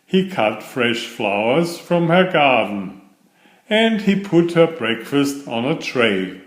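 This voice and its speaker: A man reads a children's picture book aloud at an unhurried pace. His pitch moves around a great deal as he narrates. The recording has a noticeable room echo. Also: very animated. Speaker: storyteller and children's author. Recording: roomy echo.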